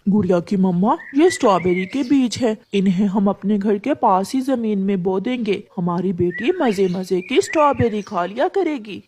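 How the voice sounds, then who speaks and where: A woman's voice: medium pitch, expressive, moderate pace, few pauses, a little mellow, natural voice. A woman voicing a loving, earnest father bird in a children's cartoon story. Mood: kindly. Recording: clear background noise.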